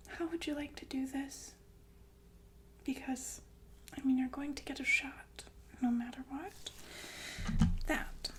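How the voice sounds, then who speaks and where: A woman playing a softly menacing, falsely soothing killer nurse in a whispered ASMR roleplay, halting and casually polite. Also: high-pitched. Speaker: ASMR performer.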